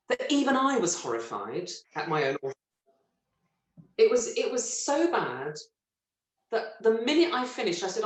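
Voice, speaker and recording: A middle-aged woman from Britain, a stage actress telling lively, self-mocking stories in an interview and laughing often. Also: a little high. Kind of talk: relaxed anecdotal interview chat. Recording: roomy echo.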